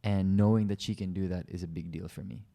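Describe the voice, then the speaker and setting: A young man from the Philippines chats on a couple's podcast in a low, mellow voice. He keeps things loose and teasing and wanders through his thoughts. The sound is relaxed and informal. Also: fast talker, creaky. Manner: easygoing, joking, talks in loose run-on thoughts. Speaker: podcast co-host. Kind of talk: casual couple's podcast chat.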